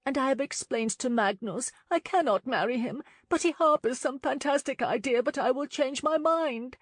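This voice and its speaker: A woman voicing a distressed young gentlewoman, proud and tearful, pleading and defiant by turns. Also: sits high, moderate pace, no echo, clean studio sound, pitched above the performer's own voice.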